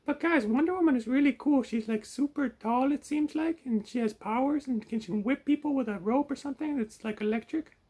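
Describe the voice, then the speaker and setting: Goofy greedy puppet, really high soft-edged raspy voice, full of himself and clueless. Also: male voice, fairly quick, few pauses. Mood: excited, naive. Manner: naive, boastful, excitable. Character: silly, greedy, self-important furry puppet who eats everything. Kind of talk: puppet show banter.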